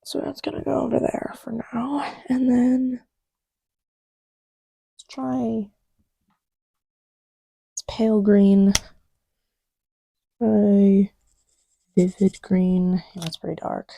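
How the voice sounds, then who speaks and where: A woman speaking softly and often half-whispering, murmuring to herself in a casual, ASMR-style ramble. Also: mid-range voice, steady tone, slow pace, creaky, long pauses, a little hiss or hum, poor audio. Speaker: audio creator.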